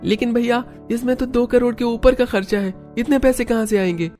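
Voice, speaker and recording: A woman's voice: on the high side, fairly even intonation, a little fast, dark tone, faint music behind, natural voice. A woman voicing a worried young sibling in a cartoon family drama.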